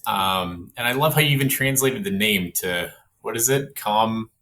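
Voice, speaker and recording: Man from Canada, podcast host, rambling and goofy, low and forceful tone. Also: moderate pace, slight background noise. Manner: goofy, rambling, laughs often. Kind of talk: casual interview.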